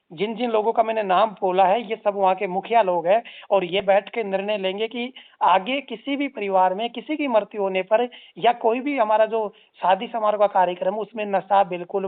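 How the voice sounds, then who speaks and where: An activist giving a formal, stern, articulate admonishment over the phone. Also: mid-range voice. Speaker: anti-drug social organisation activist. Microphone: phone line. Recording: tinny narrowband phone-call recording.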